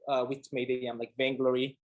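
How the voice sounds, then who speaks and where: A man who speaks English as a second language, introducing himself at a remote panel, halting and full of fillers and restarts. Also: mid-range voice, flat delivery. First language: Korean. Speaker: game company executive.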